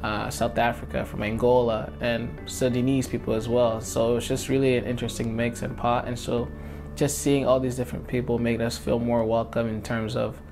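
Young man with a gravelly edge. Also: mid-range voice, flat delivery, fairly quick. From Democratic Republic of Congo. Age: young adult. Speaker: interviewee, college student. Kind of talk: personal testimony to camera. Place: indoor studio against a light wall. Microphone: lapel mic. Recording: guitar music underneath.

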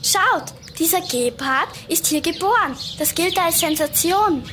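A woman playing a young, animal-loving, excitable girl in a German children's audio drama, earnest and explanatory. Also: extremely high pitch, unhurried, heavy background noise. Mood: eager explaining.

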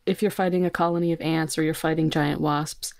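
A woman with a slightly low voice talks as a guest on a podcast. She explains her reasoning in an informal, conversational way. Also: steady tone, brisk, vocal fry, a little mellow. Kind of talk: casual explanatory discussion.

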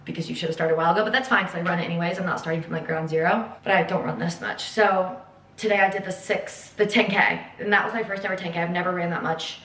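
A woman's voice: on the low side, fairly even intonation, fast, a bit bright, reverberant. A young woman, chatting casually to the camera in a vlog, upbeat and full of "like" and "y'all". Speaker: vlogger. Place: home kitchen and apartment balcony.

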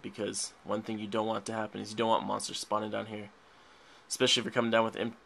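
Young male gamer host, gravelly low voice, bright tone, rambly chat, faint hiss. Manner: rambling, chatty, frequent laughs. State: a little sick. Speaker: gaming channel host. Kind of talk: casual let's play commentary. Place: indoors at a desk, filming a tablet.

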